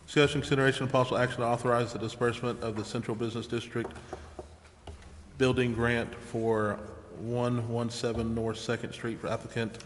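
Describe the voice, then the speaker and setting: A man's voice: medium pitch, little pitch movement, fairly quick. A man chairing a public meeting in a formal, procedural way. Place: council chamber. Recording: echoey hall, faint noise.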